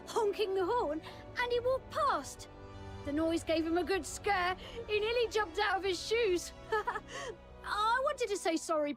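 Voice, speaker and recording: Squeaky, cheeky little kid. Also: female voice, a bit bright. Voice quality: childlike. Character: mischievous cheeky street kid, playful and brash. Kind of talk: video game voice acting. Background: background music.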